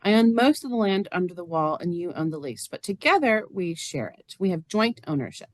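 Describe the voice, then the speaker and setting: A woman's voice: lowish voice, sing-song, fairly quick, no echo. A woman playing a plain-spoken, lonely, literal-minded farm neighbor in a stage reading, earnest and matter-of-fact. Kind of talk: stage reader's theater acting.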